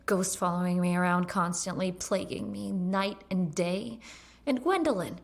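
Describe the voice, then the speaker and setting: A woman's voice: on the low side, measured pace, gravelly. An actress playing a weary, irritable woman plagued by ghosts in an audio drama. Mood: weary, wry.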